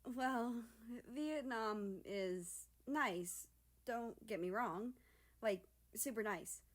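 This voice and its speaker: A woman's voice: fairly high pitch, very slow. A woman voicing a teasing, casual young man with dry, slangy delivery in a story reading. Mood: reluctant.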